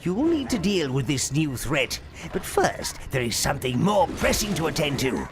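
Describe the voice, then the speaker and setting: An actor playing a sly old minion advisor to a dark lord in a video game, servile, scheming and theatrical. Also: male voice, a little high, very animated, normal speed. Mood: scheming, deferential. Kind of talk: scripted video game character acting. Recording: music behind the voice.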